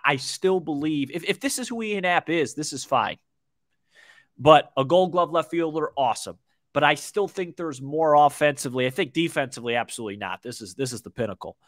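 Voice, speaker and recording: A man in his twenties co-hosting a casual sports podcast, talking baseball in a halting way with repeated words. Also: fairly high pitch, fairly bright tone, dry recording, studio quality. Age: 20s. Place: small indoor room, video call. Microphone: desk microphone, close.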